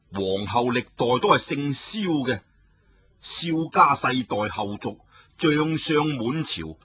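A man telling a traditional story in a dramatic, animated way, addressing his listeners. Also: mid-range voice, breathy edge.

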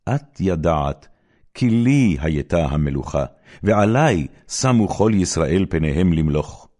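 A man's voice: deep voice, animated, a little mellow. A man with an Israeli accent voicing an ambitious elder prince, formally pleading for a favour in a scripture reading.

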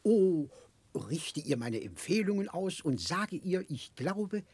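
An older man playing a kindly, muddle-headed, childlike eccentric gentleman in a radio drama, husky and confiding, speaking haltingly. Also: sits high, sing-song, no echo, exaggerated voice. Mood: earnest, puzzled. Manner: chuckling, halting, confiding, rambling. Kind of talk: 1960s German radio drama acting.

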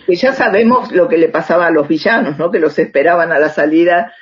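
A woman's voice: lowish voice, fairly quick, breathy edge, lo-fi sound. An Argentine woman speaking reflectively as an interviewed author and researcher, often checking in with short rhetorical tags.

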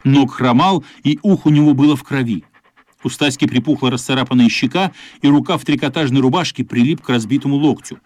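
A man's voice: medium pitch, quick pace, darkish tone, no room sound, lightly acted. A man narrating a children's radio play as a storyteller. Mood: measured storytelling.

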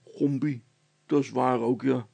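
Man playing a gravelly, whining village policeman. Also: medium pitch, fairly even intonation, a little slow, a little mellow, no echo. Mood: worried. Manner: hesitant, plaintive, grumbling. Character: reluctant, whining male village policeman, simple and worried.

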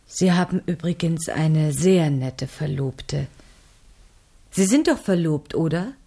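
Mellow, low-voiced, gently probing woman. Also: lively intonation, creaky, heavily acted. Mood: polite, probing. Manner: polite, measured, gently probing. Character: polite, probing niece of a rich novelist. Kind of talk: radio play acting.

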